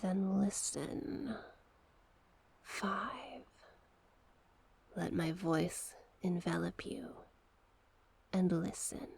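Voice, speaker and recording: A woman voicing a seductive, manipulative sea witch in an ASMR roleplay, doting yet sinister, with a hushed, breathy, coaxing delivery. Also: fairly low pitch, lively intonation, full character voice. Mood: hypnotic, whispering. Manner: slow, coaxing, affectionate endearments. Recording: noticeable background noise and ambience.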